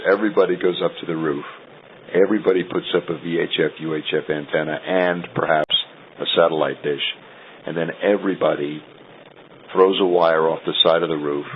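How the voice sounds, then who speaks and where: A man chatting over a two-way radio link, talking in a loose, halting way. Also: a bass voice. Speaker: podcast host on a radio link call. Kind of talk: casual two-way conversation. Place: Rome. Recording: tinny internet radio link with faint hiss.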